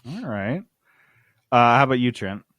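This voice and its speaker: A man's voice: medium pitch, sing-song, moderate pace, a bit bright, no room sound, studio quality. A man hosting a podcast with casual, joking and teasing banter, steering the discussion.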